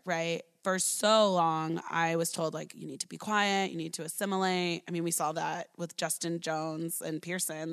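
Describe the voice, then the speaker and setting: Casting note: earnest woman in her thirties, lower voice, educator sharing her life story. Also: vocal fry. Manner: earnest, talky, full of "like" and "right?". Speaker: early childhood educator. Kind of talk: personal storytelling in an interview.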